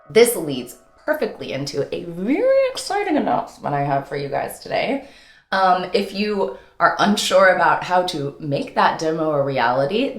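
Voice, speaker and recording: Woman host with very lively, swooping pitch. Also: roomy sound. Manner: playful, theatrical, sing-song flourishes, informal slang. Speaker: host and voice-over coach. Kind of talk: upbeat instructional vlog to camera.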